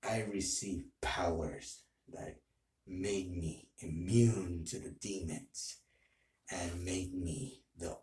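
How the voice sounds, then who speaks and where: A man playing a vengeful anime villain bent on ruling the world, delivering a menacing, boastful, halting monologue. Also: deep voice, fairly even intonation, a little mellow, far from the mic. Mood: grandiose, threatening. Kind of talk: solo anime villain role play. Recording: echoey room.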